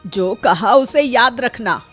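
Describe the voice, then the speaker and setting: A woman's voice: on the high side, animated, slow, fairly bright tone, breathy edge, faint music behind, full character voice. A woman voicing a stubborn, defiant old village mother-in-law in a cartoon story. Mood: threatening.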